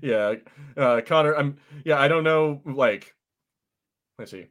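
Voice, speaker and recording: A man's voice: on the high side, slow, a bit bright. A man hosting a podcast with casual, jokey banter and reviews, often crude and sweary. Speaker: podcast host.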